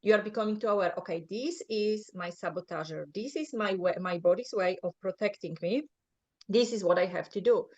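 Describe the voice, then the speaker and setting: A woman's voice: mid-range voice, brisk. Polish lady coach talking earnest, keeps asking right?, muffled lo-fi sound. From Poland. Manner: earnest, often checks in with "right?". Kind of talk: coaching advice in an interview. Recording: muffled lo-fi audio.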